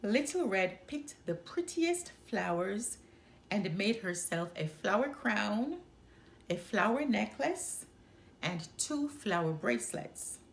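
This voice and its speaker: A woman reading a children's story aloud as a storyteller, in an expressive narrating style. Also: medium pitch, slow, creaky. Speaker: storyteller and channel host.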